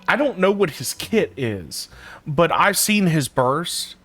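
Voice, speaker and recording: A man livestreaming a game and chatting casually with his viewers in an informal, halting, repetitive way. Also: a little high, big pitch swings, fairly bright tone, husky. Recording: faint game music behind. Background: faint game music.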